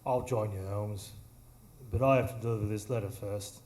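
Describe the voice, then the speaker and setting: A man's voice: lowish voice, fairly even intonation, moderate pace, darkish tone, close to an everyday voice. A man playing an officious, clipped, demanding police inspector in a live radio drama. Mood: wary, softening. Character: stern, officious male police inspector with hidden insecurity. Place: small improv theatre stage. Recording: echoey, noisy live stage recording.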